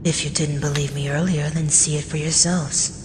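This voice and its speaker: A woman in an anime fan dub playing a calm, coolly superior young male detective genius, speaking in a measured, deliberate way. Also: low-pitched, music in the background, natural voice. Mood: cool, assured.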